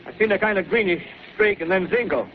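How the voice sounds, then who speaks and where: An actor playing a drowsy, plain-spoken farmer in a 1930s radio drama, with a hesitant, folksy country drawl. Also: male voice, high voice, quick pace, natural voice. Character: drowsy rural farmer, middle-aged man, plain-spoken. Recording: tinny, hissy 1930s radio broadcast.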